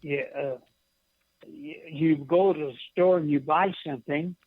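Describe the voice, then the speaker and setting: An older man, a guest author and poet, talking in a plain, halting way over a narrow phone connection. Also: on the high side, fairly even intonation, slightly rough, dry recording. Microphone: telephone call. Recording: narrow, tinny phone line.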